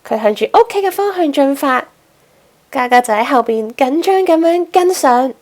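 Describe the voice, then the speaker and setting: A woman's voice: sits high, animated, normal speed. A woman narrating a children's storybook expressively as host.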